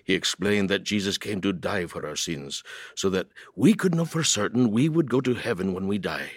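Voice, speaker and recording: A man playing an earnest adult telling his conversion testimony in a scripted, expressive radio storytelling style. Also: deep voice, normal speed, breathy edge, no room sound, clean studio sound. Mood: reflective.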